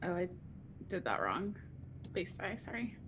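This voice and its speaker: A woman's voice: fairly low pitch, very animated, measured pace, noisy background. A woman chatting casually as a player in a tabletop roleplaying game.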